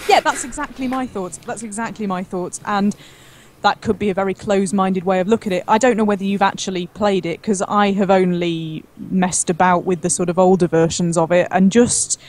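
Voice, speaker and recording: A woman's voice: mid-range voice, fairly even intonation, fairly quick, a little mellow, slight background noise. A woman speaking as a guest on a gaming show, chatting casually and frankly about her opinions. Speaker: guest, gaming video creator. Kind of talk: casual chat.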